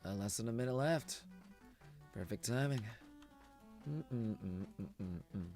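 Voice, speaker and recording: A man streaming live, casually chatting through a showcase, his voice hoarse from just waking up and his talk rambling with frequent laughs. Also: lowish voice, animated, slow pace, darkish tone, soft background music. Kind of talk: casual live-stream watch-along chat.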